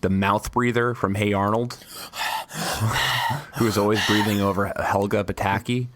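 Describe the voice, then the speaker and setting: Young guy with a cold, low voice, rambles and swears, real dry sound. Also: fairly even intonation, a little slow, fairly bright tone, no room sound. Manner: crude, rambling, laughs often. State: stuffy, losing his voice. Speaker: podcast co-host. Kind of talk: casual chat.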